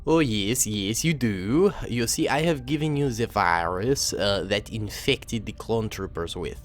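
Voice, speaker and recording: A man voicing a smug, scheming scientist in a storybook war tale, with a put-on foreign accent and drawn-out, mocking delivery. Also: fairly low pitch, lively intonation, slight background noise, pitched below the performer's own voice. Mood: smug, taunting. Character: scheming, smug male scientist defecting from his dark lord.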